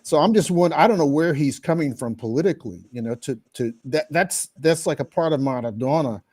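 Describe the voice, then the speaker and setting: A man co-hosting a casual political chat, talking in a halting way with many fillers. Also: a little high, animated, a little mellow. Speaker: co-host.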